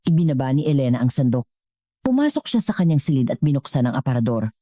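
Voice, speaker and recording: A woman reading scripted dramatic story narration in a measured way, as the narrator of an audio drama. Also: rumbling low, very animated, dark tone, dry recording, clean studio sound.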